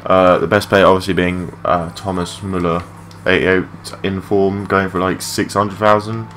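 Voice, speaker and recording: A young man with a low voice giving rambling, matey, sweary gameplay commentary in a casual unscripted way. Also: little pitch movement, normal speed, fairly bright tone. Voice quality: low-pitched voice. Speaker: gaming video creator. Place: his room at home. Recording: clearly noisy home mic recording.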